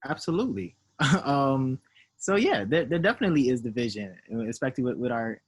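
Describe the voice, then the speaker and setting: A man's voice: mid-range voice, sing-song, brisk, slightly rough. A man from Nigeria, raised in the United States, speaking as a guest in a casual discussion with frequent fillers. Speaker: panel guest.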